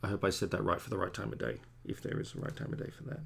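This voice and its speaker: A man's voice: low voice, lively intonation, racing, gravelly, a bit bright, slightly rough. A man in his forties, a comic artist chatting in a rambling, self-deprecating way to stream viewers while he draws at home. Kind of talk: rambling casual chat while drawing. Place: home studio at a drawing desk.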